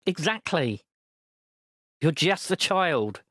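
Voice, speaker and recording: A man's voice: on the high side, very animated, slow, a bit bright, no echo, pitched above the performer's own voice, exaggerated voice. A man playing a stern, worried dad. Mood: scolding. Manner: scolding, anxious questioning. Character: stern worried middle-aged father.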